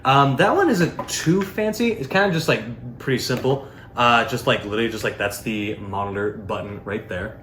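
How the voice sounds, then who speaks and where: A man's voice: medium pitch, normal speed, hardly any pauses, fairly bright tone. A man giving a casual, unscripted product demo, rambling informally and peppering his talk with filler words. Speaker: product reviewer. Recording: roomy echo, faint background noise.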